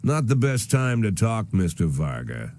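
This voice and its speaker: An actor playing a weary, cynical, aging American police chief gone corrupt, narrating a noir video game in a dry, sardonic manner. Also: male voice, on the low side, very animated, a little slow, darkish tone, a little hiss or hum. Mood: curt, dismissive. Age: older.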